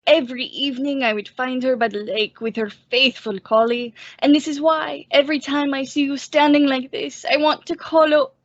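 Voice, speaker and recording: A woman playing a teasing old male musician and professor in a radio comedy, mock-courtly in his flattery. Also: high voice, normal speed, few pauses, dry recording. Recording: dull lo-fi recording.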